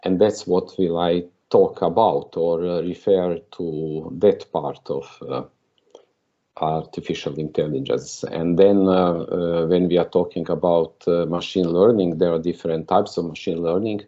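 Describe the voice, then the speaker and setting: Croatian accent guy giving a keynote on a video call, deep voice, lots of uhs, muffled audio. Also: fairly even intonation, unhurried, dark tone. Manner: hesitant, many filler sounds and restarts. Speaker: keynote speaker. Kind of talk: conference keynote talk over video call.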